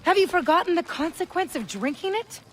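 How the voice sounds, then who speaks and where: Defiant, high-voiced mother, lively pitch. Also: fairly quick. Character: defiant Native village mother, adult woman, protective. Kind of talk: dramatic game cutscene acting.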